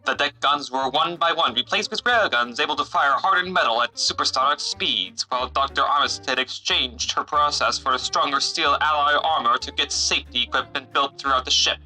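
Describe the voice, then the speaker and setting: A man's voice: medium pitch, a little fast, hardly any pauses, sharp tone, soft background music, low-quality recording. A man narrating a scripted adventure story as its narrator.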